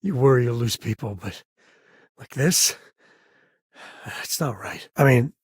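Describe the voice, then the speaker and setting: A male actor playing an easygoing, wry and earnest spacefaring explorer in a video game, with a husky voice and casual, folksy delivery. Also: medium pitch, expressive, measured pace, no echo, studio quality. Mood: reflective, earnest. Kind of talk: scripted game character dialogue.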